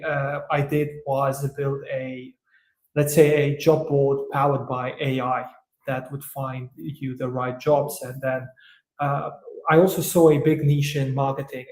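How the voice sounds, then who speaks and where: A man from Romania, an entrepreneur guest in an interview, who answers in a thoughtful, hesitant way with many "uh" pauses. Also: mid-range voice, darkish tone, echoey room, low-quality recording.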